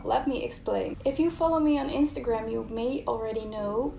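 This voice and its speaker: A woman's voice: on the high side, gravelly, dark tone, a little hiss or hum. A young Dutch woman, a native Dutch speaker, chatting casually in English as a YouTuber and illustrator, openly searching for words at times. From Netherlands. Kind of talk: casual chat while drawing. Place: home art studio. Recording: roomy home studio sound. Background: faint background music.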